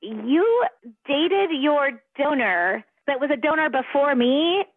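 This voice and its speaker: A woman calling in to a radio show, speaking casually and haltingly. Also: sits high, sing-song, dry recording. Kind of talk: casual, halting phone talk. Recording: thin, tinny telephone line.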